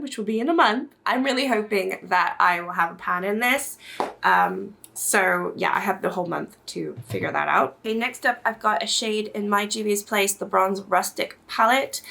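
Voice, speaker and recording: A woman's voice: mid-range voice, creaky, bright, forceful tone. Chatty stuffed-up young woman vlogger. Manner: chatty, rambling, self-deprecating. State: stuffed-up nose from a cold. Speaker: beauty vlogger. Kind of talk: casual makeup chat to camera.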